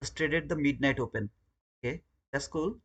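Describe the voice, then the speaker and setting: A man's voice: fairly high pitch, normal speed, fairly bright tone, breathy edge, highs cut off. A man from Bangladesh with a Bangladeshi English accent, hosting a live trading stream and talking casually to his viewers, often repeating words. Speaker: trading livestream host. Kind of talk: live trading commentary and chat.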